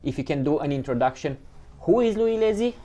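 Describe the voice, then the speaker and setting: Mellow-voiced podcast host asking questions. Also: male voice, on the high side, lively intonation, highs cut off. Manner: friendly, quick questions with fillers. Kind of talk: casual podcast interview.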